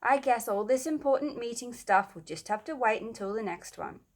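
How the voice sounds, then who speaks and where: A woman's voice: fairly high pitch, lively intonation, normal speed. A woman voicing a grumpy, officious schoolboy who leads a club in a children's storybook. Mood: huffy. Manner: huffy, bossy.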